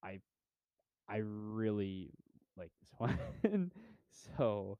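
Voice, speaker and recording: A man's voice: on the low side, very animated, very slow, warm tone, smooth, no room sound, highs cut off. A young man co-hosting a podcast, chatting casually about movies in a laid-back, rambling way with lots of fillers. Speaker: podcast co-host. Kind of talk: casual movie review chat.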